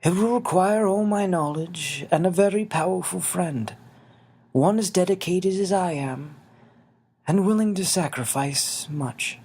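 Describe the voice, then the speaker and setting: Man voicing a high-pitched, solemn little old fairy man. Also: unhurried, darkish tone, breathy edge, exaggerated voice. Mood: earnest. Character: tiny old winged fairy man, solemn, gentle, weary.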